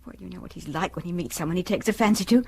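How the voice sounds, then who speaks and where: Radio drama mother: middle-aged British woman, husky, worried, a wronged wife. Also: on the low side, racing, a little mellow. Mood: bitter. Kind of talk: naturalistic radio drama acting.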